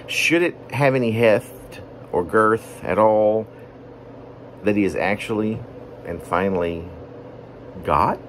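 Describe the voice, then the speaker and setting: A man reading his own poetry as a rambling, wordy monologue, deliberate and pausing mid-phrase. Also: lowish voice, slight background noise. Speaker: poet reading own work.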